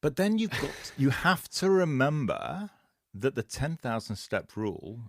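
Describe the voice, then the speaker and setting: A man's voice: mid-range voice, sing-song, a little slow, dry recording, studio quality. A man co-hosting a casual fitness podcast, explaining points at length and laughing at his own asides. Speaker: podcast co-host.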